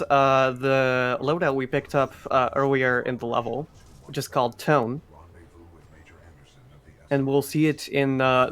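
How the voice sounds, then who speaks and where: A man's voice: medium pitch, a bit bright. A man giving explanatory live commentary on a speedrun. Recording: faint background noise, live event stream.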